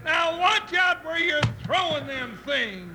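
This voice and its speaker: Very high-voiced folksy cowboy sidekick. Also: male voice, animated, takes it slowly, music in the background, heavily acted. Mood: surprised, teasing. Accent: American. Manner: folksy, drawling cowboy talk. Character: big folksy cowboy sidekick, fond nephew. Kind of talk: 1950s Western radio serial acting. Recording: noisy old radio recording.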